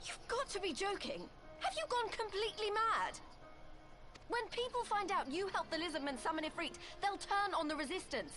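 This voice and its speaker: An actress playing a blunt, outspoken, indignant young heroine in a video game cutscene. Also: female voice, very high voice, animated, normal speed, music in the background. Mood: outraged.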